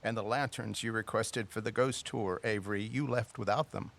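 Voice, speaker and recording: A man's voice: lowish voice, fairly even intonation, moderate pace, creaky, a bit bright. An actor playing a stubborn, theatrical middle-aged father with a formal, old-fashioned way of talking in an audio drama. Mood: put-upon, insistent.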